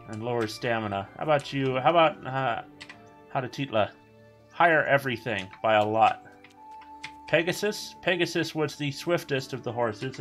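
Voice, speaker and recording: Chatty man streaming a game. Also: fairly bright tone. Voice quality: booming voice. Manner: chatty, rambling asides while playing. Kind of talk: casual gameplay commentary.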